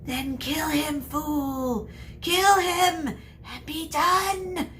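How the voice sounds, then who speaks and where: A woman voicing an evil male dark wizard from a children's novel, menacing and taunting, in a hissing voice that goes from whisper to shriek. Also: high-pitched, steady tone, voice pushed up, full character voice. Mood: shrieking rage. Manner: slow, drawn-out, taunting. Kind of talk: children's novel read aloud.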